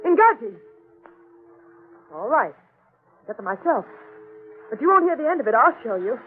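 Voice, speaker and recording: A woman's voice: high-pitched, very animated, moderate pace. A woman playing an anxious, tender young wife with melodramatic delivery in a 1940s radio thriller. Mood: playful, coaxing. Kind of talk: 1940s radio mystery acting. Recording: noisy, dull old radio recording.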